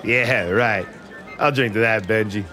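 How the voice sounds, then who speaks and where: An actor playing a brash young man from Boston with a Boston accent, telling a wild story excitedly. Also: fairly low pitch, unhurried, soft background music. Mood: cheeky.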